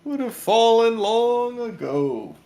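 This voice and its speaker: A streamer voicing a loud, boastful warrior woman from a tribe, reading a fantasy game with brash bragging. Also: mid-range voice, big pitch swings, slow pace.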